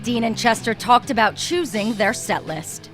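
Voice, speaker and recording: A woman's voice: fairly high pitch, animated, normal speed, fairly bright tone, soft background music. Upbeat woman reading entertainment news. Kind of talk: scripted news narration.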